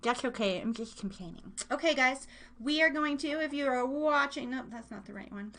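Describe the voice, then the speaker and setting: Woman with a highish voice hosting a live show, chatty n playful, rambles and cuts herself off, talks right to listeners. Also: normal speed, fairly bright tone. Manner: playful, rambling, self-interrupting, addresses listeners directly. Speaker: live radio and podcast host. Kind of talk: casual live show chat with chat room.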